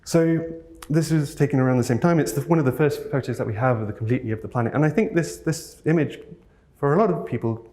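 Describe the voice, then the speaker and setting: A man's voice: medium pitch, fast. A man from North Wales giving a thoughtful keynote talk, earnest and a little hesitant as he searches for words. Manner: earnest and gently enthusiastic. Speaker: keynote speaker. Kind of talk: reflective conference talk. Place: talk venue, audience present.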